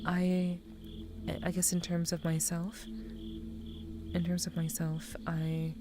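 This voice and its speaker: A young woman speaks in a soft, soothing ASMR half-whisper, her voice a touch scratchy from recent crying. Her tone sits low and stays level, with little rise or fall. Music plays clearly underneath her. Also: monotone, slow. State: slightly scratchy voice after crying. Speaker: VTuber streamer. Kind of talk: ASMR stream chat.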